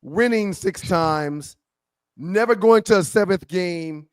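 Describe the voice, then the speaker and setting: A man's voice: high-pitched, slow pace, clean tone, no echo. A man co-hosting a live sports talk show, chatting casually, reading viewer comments and rambling through his points. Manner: rambling, restarts, laughs along.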